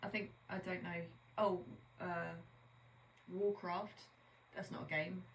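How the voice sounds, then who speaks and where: A woman's voice: lowish voice, flat delivery, slow, slight background noise. A woman co-hosting a podcast in casual chat, chatty and teasing, sometimes trailing off while searching for a word. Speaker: podcast co-host. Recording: echoey room.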